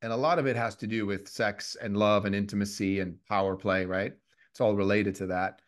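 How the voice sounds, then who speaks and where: A man in his fifties from Switzerland, co-hosting a podcast in a reflective, halting way with many restarts. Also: on the low side, steady tone. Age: 50s. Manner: reflective, restarts often, lots of fillers. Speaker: podcast co-host. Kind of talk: casual conversational podcast.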